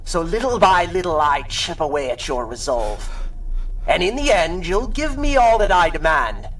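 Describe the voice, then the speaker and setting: An actor playing a gloating, sadistic supervillain in a pulp radio drama, with mocking, drawn-out theatrical delivery. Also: male voice, fairly high pitch, big pitch swings, a bit bright, distant mic, low-quality recording. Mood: gloating, menacing. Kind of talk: radio drama acting in 1930s pulp style.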